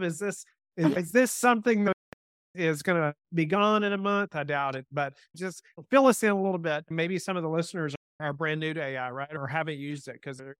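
A man's voice: fairly high pitch, a bit bright, clean tone, no echo. A man hosting a podcast, upbeat and piling on questions, often tagging on "right?". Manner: upbeat, stacks questions, says "right?" a lot. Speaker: podcast host. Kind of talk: podcast interview.

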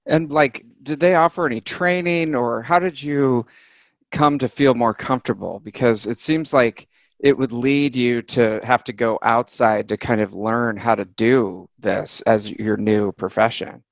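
A man hosting a podcast, asking questions in a relaxed, conversational way and laughing easily. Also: medium pitch, unhurried, creaky, no room sound. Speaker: podcast host. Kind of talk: interview questions.

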